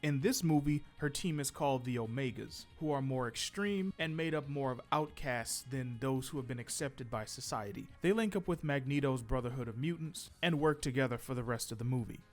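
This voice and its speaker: A man's voice: mid-range voice, a little fast, hardly any pauses. A man hosting a comic book video, reading scripted commentary in a conversational, opinionated way with joking asides. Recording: music behind the voice. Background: background music.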